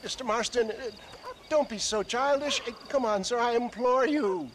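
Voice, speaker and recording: Pompous high-pitched old snake-oil salesman. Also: moderate pace, noisy background. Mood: flustered pleading. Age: older. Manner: pompous, florid, flustered wheedling. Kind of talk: theatrical video game acting.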